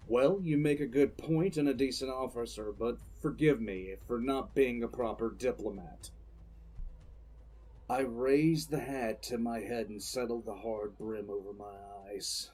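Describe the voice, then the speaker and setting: A man's voice: mid-range voice, darkish tone, slightly rough. Man playing a grim, defiant military commissar. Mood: grim resolve. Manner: grim, deliberate, storytelling. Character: defiant, contemptuous male military commissar telling his story. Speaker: narrator and lead character. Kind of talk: first-person dramatic audio drama narration.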